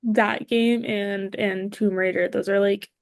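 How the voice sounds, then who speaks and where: A woman's voice: medium pitch, fairly even intonation, a little slow, dry recording, clean studio sound. A woman guesting on a podcast, chatting casually and enthusiastically about movies.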